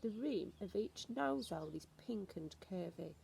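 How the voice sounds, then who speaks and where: A woman's voice: mid-range voice, lively intonation, measured pace, creaky, a little mellow, slight background noise. Woman acting an emphatic, stern old grandmother. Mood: relishing, instructive. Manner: emphatic, instructive, snappish.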